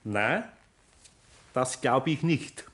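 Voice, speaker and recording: An older Bavarian master craftsman in a vintage radio play. He speaks in a mid-pitched voice, unhurried and homely. He is kindly but sly, gently admonishing. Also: expressive, full character voice. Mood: probing, stern. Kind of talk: 1960s radio play acting.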